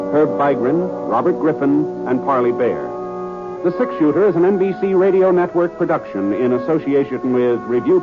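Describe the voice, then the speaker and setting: A man reads network promos and credits in a polished, formal announcer style. His voice is at a middle pitch. It sounds thin and tinny, with music clearly underneath. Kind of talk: 1950s radio announcing. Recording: tinny radio transcription with music.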